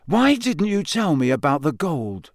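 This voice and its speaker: A man's voice: fairly high pitch, big pitch swings, unhurried, dry recording, voice pushed up. A sulky, touchy teenage boy, as a male narrator puts him on, his voice lifted well above the reader's own. He sounds resentful, and the recording is dry and close.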